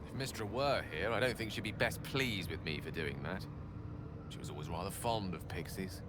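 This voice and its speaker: An actor playing a learned, wordy wizard in a video game, eloquent and musing aloud. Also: male voice, low voice, normal speed, natural voice. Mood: resolute. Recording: game audio with clear background noise.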